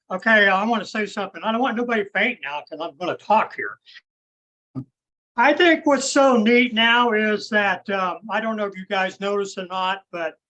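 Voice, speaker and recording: A man's voice: high voice, sharp tone. Folksy man on a muffled call. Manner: folksy and chatty. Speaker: caller. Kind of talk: casual chat. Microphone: video call.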